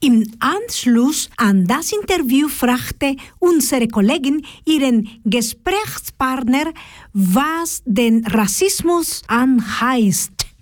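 Lively high-voiced woman radio presenter. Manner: formal, measured. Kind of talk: scripted radio announcement.

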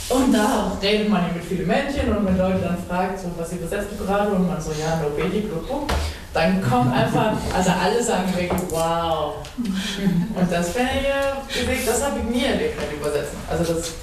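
A man who is a literary translator, speaking non-native German in a lively way during a panel discussion and laughing as he talks. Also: sits high, brisk, background noise. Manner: lively, laughs while talking. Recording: very echoey room from far off.

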